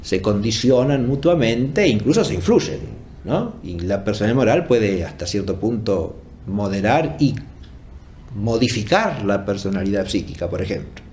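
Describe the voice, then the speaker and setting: A man giving an explanatory academic lecture off the cuff, deliberate and often checking for agreement with short tag questions. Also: lowish voice, lively intonation, darkish tone, slight background noise. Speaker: philosophy lecturer.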